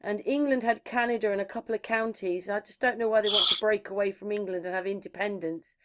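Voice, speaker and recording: A woman's voice: mid-range voice, steady tone, quick pace, a bit bright. A woman in casual chat who keeps asking questions. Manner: inquisitive, questioning.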